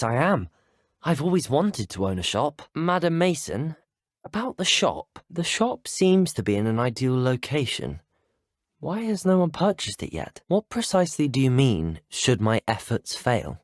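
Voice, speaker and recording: An actor playing a polite, earnest teenage student wizard in a video game, with a British accent and courteous, articulate speech. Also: male voice, mid-range voice, no echo, studio quality. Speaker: video game player character. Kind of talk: scripted game dialogue.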